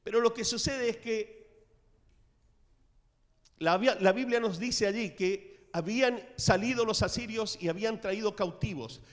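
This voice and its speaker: High-voiced pastor preaching in a reverberant church. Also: male voice, unhurried, long pauses. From Latin America. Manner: storytelling preacher, dramatic swings in loudness. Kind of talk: sermon. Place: church sanctuary.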